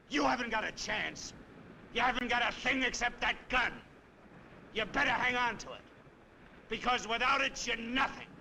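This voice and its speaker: Sharp, defiant American hostage. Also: male voice, very high-pitched, heavily acted. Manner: bitter, forceful, contemptuous. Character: defiant, angry male hostage confronting his captor. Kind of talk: 1950s film noir acting. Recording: faintly noisy old film soundtrack.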